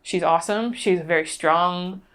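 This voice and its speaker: A woman being interviewed, recounting cases conversationally in a thoughtful, halting way. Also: fairly low pitch, fairly bright tone. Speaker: interview guest.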